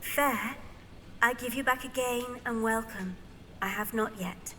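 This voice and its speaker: A young, self-possessed heiress, acted by a woman in an audio drama. Her voice is fairly high and bright, with light noise and birdsong behind it. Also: measured pace. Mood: declaiming. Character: young modern heiress, decided, coolly composed.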